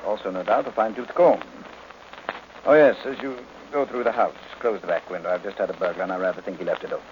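Man acting a pompous, deep-voiced detective genius. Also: quick pace, close to an everyday voice. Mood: irritated sarcasm. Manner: pompous, precise, sardonic. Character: pompous, imperious, easily irritated genius detective. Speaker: radio drama actor. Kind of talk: old-time radio detective drama acting. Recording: muffled, noisy old-time radio recording.

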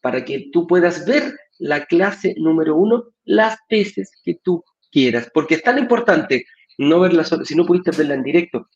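A man co-hosting a live stream over a video call, explaining things in a measured way. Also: a little high, expressive. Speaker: co-host, sales director. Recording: echoey, dull video call audio.

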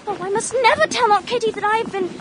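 An actress playing a naive young Victorian English gentlewoman in a radio drama, breathless, proper and easily flustered. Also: fast. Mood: distraught, indignant. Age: young adult. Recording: clear train rumble behind voice. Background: train carriage ambience.

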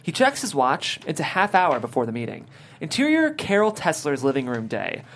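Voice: mid-range voice, big pitch swings, normal speed, fairly bright tone. A narrator reading out scene headings and stage directions from a screenplay at a table read.

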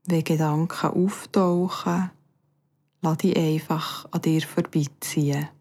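A woman speaks Bernese Swiss German in a low, mellow voice, leading a guided relaxation. Her delivery is very unhurried and soothing, with long pauses between short instructions. Phrases often trail off softly into breath. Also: fairly even intonation. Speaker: yoga nidra instructor. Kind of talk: guided meditation.

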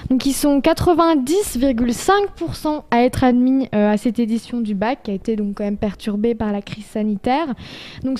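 A woman's voice: a little high, mellow. A young woman in her late teens presenting the news on a student radio show, hesitant and full of fillers.

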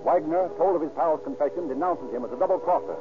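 An actor as a formal, dramatic narrator of a 1930s radio crime story. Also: male voice, on the high side, fast, background noise, natural voice. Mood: urgent, formal. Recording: tinny old radio recording.

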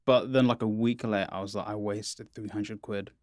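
A man's voice: fairly low pitch, fairly even intonation, dry recording. Joking young man, dry close sound. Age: young adult. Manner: joking, rambling, quick to laugh. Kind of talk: casual chat.

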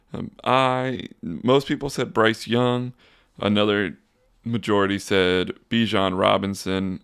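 A young man hosting a casual solo sports podcast, talking in a flat, monotone, rambling way. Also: lowish voice, clear, resonant tone. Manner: monotone, says so himself; relaxed, rambling with fillers and restarts. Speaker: podcast host.

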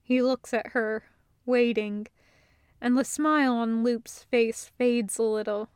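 A woman reading a story as scripted narration, with measured, deliberate phrasing. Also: fairly high pitch, steady tone, a little mellow, clear, resonant tone, dry recording, studio quality. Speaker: audiobook-style fiction reader.

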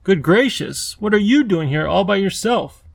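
A kindly, fussy old professor in a storybook, flustered and old-fashioned in his speech, as a man puts him on. the voice sits a touch higher than usual with a gravelly crackle, dry and close to the mic. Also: expressive, normal speed, no echo, heavily acted.

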